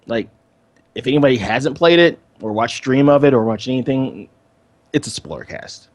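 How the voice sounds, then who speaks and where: A man's voice: medium pitch, moderate pace, no echo. A man co-hosting a gaming podcast in casual, informal chat, repeating himself as he thinks aloud. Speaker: podcast co-host.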